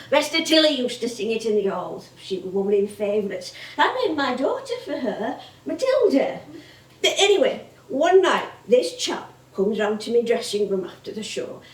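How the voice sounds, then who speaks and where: A woman's voice: on the high side, sing-song, moderate pace. An actress playing a chatty, nostalgic older comedienne with a Northern English accent, rambling and exclaiming as she tells stories on stage. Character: veteran comedienne, chatty, nostalgic older music-hall woman. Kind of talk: theatrical stage monologue and dialogue. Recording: echoey theatre stage from far off.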